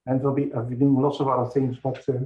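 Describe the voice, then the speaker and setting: A man's voice: mid-range voice, flat delivery, quick pace, dull audio. A man introducing himself as a student in an online seminar, hesitant with fillers. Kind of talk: self-introduction on a call.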